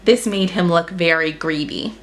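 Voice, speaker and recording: A woman's voice: on the low side, normal speed, a little hiss or hum. A woman reading a children's picture book aloud as a storyteller, with dramatic emphasis on action words. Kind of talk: expressive children's story narration.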